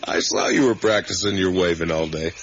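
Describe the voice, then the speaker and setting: An actor playing an easygoing, talkative middle-aged father in a naturalistic radio drama, with a folksy, rambling, gently teasing manner. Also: deep voice, a little fast. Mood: musing, playful. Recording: muffled, hissy 1980s radio broadcast.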